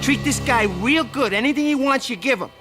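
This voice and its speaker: An actor playing a high-strung, whining mob lieutenant giving nervous orders. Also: male voice, brisk. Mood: nervous bossy. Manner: jittery, whiny and pushy. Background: music.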